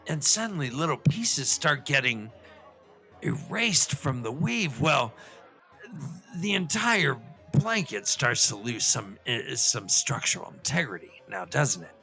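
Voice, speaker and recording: A man acts out an excitable professor puppet in a comic show, lecturing on fantasy lore. The voice is gravelly and forceful at a middling pitch, wandering into asides and stumbling over words, with faint music behind it. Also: slow, heavily acted. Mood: earnest, rambling. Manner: rambling, professorial, full of digressions and stammers.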